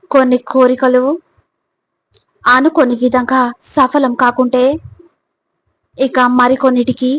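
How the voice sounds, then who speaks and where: A woman reading scripted motivational narration in a deliberate, instructive manner, addressing the listener directly. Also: sits high, rough recording.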